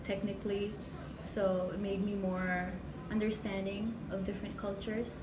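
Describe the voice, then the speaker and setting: A young woman from the Philippines reflects calmly on her answers. Her voice carries a noticeable room echo. Steady background noise is clearly audible behind her. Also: mid-range voice, normal speed, distant mic. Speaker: interviewee.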